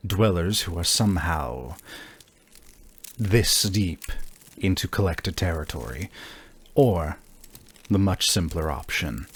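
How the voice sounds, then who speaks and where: A man acts out a formal tabletop game envoy with a low voice. The delivery is very unhurried and halting, each phrase carefully measured and grave. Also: husky.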